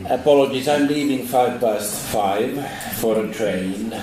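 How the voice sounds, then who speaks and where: A man's voice: medium pitch, a little slow, noisy background. A man on a seminar panel giving firm, explanatory answers to audience questions. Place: university seminar hall. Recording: echoey hall, mic at a distance.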